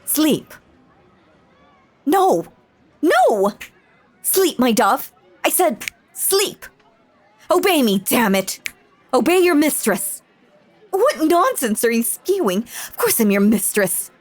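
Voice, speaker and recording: Theatrical, sinister lady magician, swooping high voice. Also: big pitch swings, slow, pauses often, no echo, exaggerated voice. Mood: furious, betrayed. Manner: theatrical, silky endearments, menacing. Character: sinister possessive stage magician mistress, theatrical, obsessive woman. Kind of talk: dramatic audio roleplay acting.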